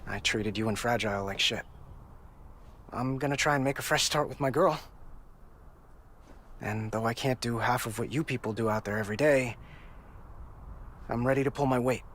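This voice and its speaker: A man's voice: medium pitch, a bit bright, a little hiss or hum, close to an everyday voice. A man as a remorseful, earnest repairman. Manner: sincere, halting and apologetic. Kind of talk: video game cutscene acting.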